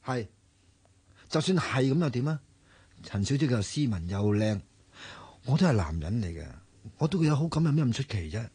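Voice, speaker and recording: A man's voice: mid-range voice, sing-song, moderate pace, pauses often, mellow, slightly rough, no echo. A flustered, earnest young man. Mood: bickering. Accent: Hong Kong Cantonese. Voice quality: hesitant, flustered. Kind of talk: broadcast radio drama acting.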